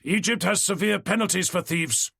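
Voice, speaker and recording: A burly, officious hotel chef, played by a man, in an audiobook, stern and pompous with a lifted, lively delivery. Dry and close. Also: high-pitched, moderate pace, creaky, slightly rough, no echo, pitched above the performer's own voice, exaggerated voice. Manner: stern, pompous, obsequious to guests.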